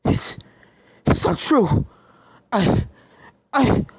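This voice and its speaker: A man's voice: drawn-out words, darkish tone. A man voicing a frantic, guilt-ridden female unicorn, stammering and shrieking in defiant panic in a dramatic story reading. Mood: terrified. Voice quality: raspy, shrieking. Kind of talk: dramatic fan fiction reading.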